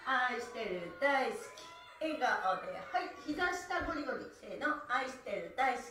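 A woman's voice: a little high, animated, moderate pace, sharp tone, a little hiss or hum. A middle-aged woman leading a live stretch class, cheerful and laughing as she chants the counts. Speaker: stretch instructor. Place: small room at home, on a floor mat. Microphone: far camera. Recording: echoey room, voice from far off.

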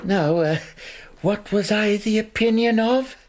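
An actor playing a fussy, forgetful elderly collector of fossils and insects in a radio drama, who talks in a flustered, dithering way. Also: male voice, sits high, measured pace, a little mellow. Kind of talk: BBC radio drama acting.

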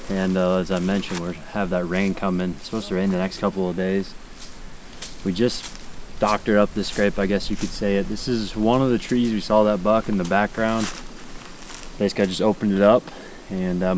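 A man's voice: sits low, slight background noise. A young American man chatting casually to camera as the host of an outdoor vlog. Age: young adult. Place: outdoors in fields and woods.

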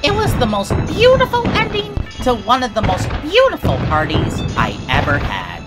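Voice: mid-range voice, huge pitch swings, moderate pace. A narrator reading a scripted children's comic read-along story aloud. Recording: music behind the voice. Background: music.

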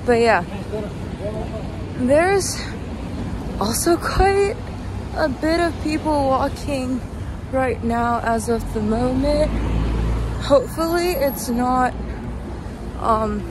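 Slow, halting young woman vlogging outdoors. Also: high-pitched, animated, a crowd in the background. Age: young adult. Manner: rambling, halting, trailing off. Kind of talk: casual walking vlog chat. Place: outdoors walking on a city street at night. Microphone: handheld phone at arm's length. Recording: noisy city street with passing traffic. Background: traffic and street ambience.

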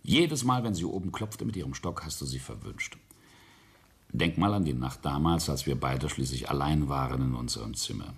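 A ruthless older tycoon in a radio play, with a very low, gravel-filled voice. His intonation swings widely as he speaks in a deliberate, imperious way, coldly laying down the truth to his family. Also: lively intonation, heavily acted. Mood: relentless. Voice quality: gravelly, husky. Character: ruthless, boastful patriarch and self-made industrialist, coldly confessing. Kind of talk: German radio play acting.

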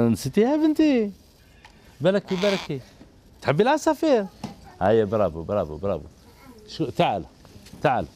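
A gentle, encouraging man with an Algerian Arabic accent hosts a children's TV show from an indoor studio set, holding a handheld mic. His voice moves lively up and down as he coaxes the kids, and a faint hiss sits under it. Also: on the high side, fairly quick, long pauses. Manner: gentle, encouraging, repeats praise over and over. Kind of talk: coaxing kids on stage.

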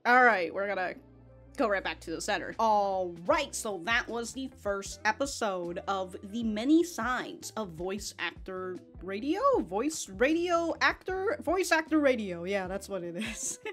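A young woman casually reviewing an anime episode into a studio mic, rambling with restarts and quick laughs. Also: mid-range voice, animated, soft background music. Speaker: anime reaction youtuber. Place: small room, home studio. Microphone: foam-covered studio mic in front.

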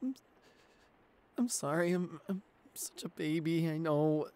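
A man's voice: fairly high pitch, slow pace, darkish tone. A man playing a stammering, frightened young boyfriend. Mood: apologetic, embarrassed. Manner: stammering, hesitant, pleading. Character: shy nineteen-year-old boyfriend, anxious, apologetic, clingy. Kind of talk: ASMR roleplay acting.

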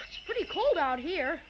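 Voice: very high-pitched, very animated, slow, noisy background, full character voice. A performer playing an eager, friendly young boy in a 1930s children's radio adventure. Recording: muffled 1930s radio recording.

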